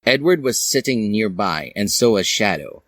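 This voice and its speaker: Low-voiced man with very lively storytelling delivery. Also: normal speed, no room sound. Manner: theatrical, puts on character voices. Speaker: fan fiction reader. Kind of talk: dramatic comic reading of a story.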